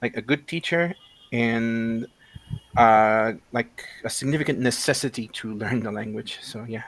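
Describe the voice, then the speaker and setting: A man in his thirties from Mexico talks through a casual interview, pausing often with fillers and restarting his sentences. His voice sits at an ordinary middle pitch. The sound is dry, with no room echo. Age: 30s. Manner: hesitant, many fillers and restarts. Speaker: guest.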